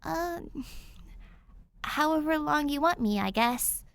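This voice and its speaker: A woman's voice: sits high, animated, slow pace, creaky, no echo, heavily acted. A woman voicing a feisty, sarcastic runaway girl in a fan fiction audio drama, blunt and mock-dramatic. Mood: awkward.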